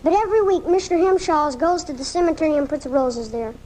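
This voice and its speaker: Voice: high voice, a little fast, a little mellow, a little hiss or hum, natural voice, rough recording. An actor voicing a sickly, lonely, eager schoolboy in a 1980s live radio drama, on a home recording taken off the air. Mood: earnest. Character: sickly, lonely, eager schoolboy who adores his dog.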